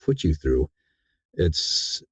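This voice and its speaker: A man speaking as a guest in a casual interview, in a halting, reflective and sincere way. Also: very deep voice, very animated, husky, no echo. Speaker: guest, audiobook narrator.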